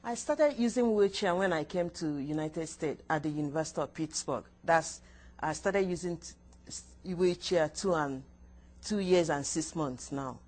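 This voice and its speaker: A Nigerian woman whose first language is Igbo, answering interview questions haltingly, with restarts and short yes answers. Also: sits low, darkish tone. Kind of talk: recorded counseling interview.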